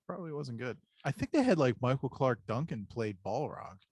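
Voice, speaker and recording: A man's voice: mid-range voice, sing-song, moderate pace, a little mellow, husky, dry recording, clean studio sound. A man co-hosting a podcast in casual, nostalgic chat, talking in slang and swearing freely. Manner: profane, slangy. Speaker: podcast co-host.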